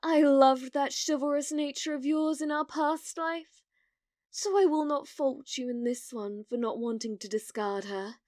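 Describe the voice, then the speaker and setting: A woman's voice: high voice, a little slow, a little mellow, no echo, studio quality. A woman acting in an ASMR roleplay as a delusional, possessive young woman who calls herself a reincarnated demon lord, speaking in a theatrical, formal, lovestruck way. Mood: calmly possessive.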